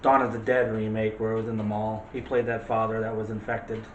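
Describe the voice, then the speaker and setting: A man's voice: on the low side, little pitch movement, brisk, lo-fi sound, slight background noise. A man hosting a movie discussion show in a casual, chatty and opinionated way. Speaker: host of a movie review show. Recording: echoey room.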